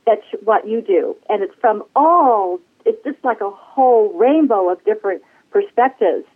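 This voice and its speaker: Sixties woman, bubbly guest, mid-pitch, thin phone-line sound. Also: animated, measured pace. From United States. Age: 60s. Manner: bubbly, laughs often. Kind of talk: casual interview. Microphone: phone call.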